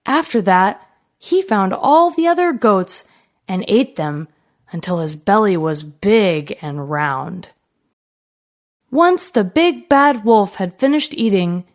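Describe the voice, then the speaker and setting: A woman narrating a fairy tale for children in an expressive, deliberate storytelling manner. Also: mid-range voice.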